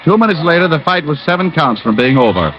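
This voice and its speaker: Wisecracking private eye for vintage radio drama: dry first-person narration, muffled sound, music underneath. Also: male voice, fairly high pitch, sing-song, quick pace, fairly bright tone. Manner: dry, wry asides. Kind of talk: 1940s-50s radio detective acting and first-person narration. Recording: muffled old radio recording, music behind.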